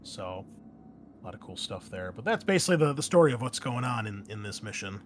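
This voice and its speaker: A man hosting as game master, explaining things casually and informally with a halting delivery. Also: fairly low pitch, big pitch swings. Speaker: game master and podcast host. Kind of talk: casual explanation and chat on an actual-play podcast. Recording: faint background noise.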